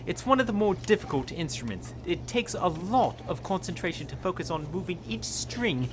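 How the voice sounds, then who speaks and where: Encouraging pony teacher with a lively swooping delivery, played by an actor. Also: medium pitch, moderate pace, noisy background, natural voice. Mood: patient, explaining. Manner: patient, instructive. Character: encouraging adult pony music teacher at magic school.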